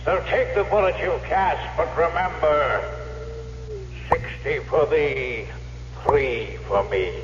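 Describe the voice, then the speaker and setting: An actor playing a sly, menacing devil who makes slow, taunting, theatrical pronouncements in an old radio horror play. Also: male voice, a little high, dull audio. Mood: gloating. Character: sly, menacing one-legged devil of the midnight crossroads. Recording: echoing, noisy old radio recording with hum.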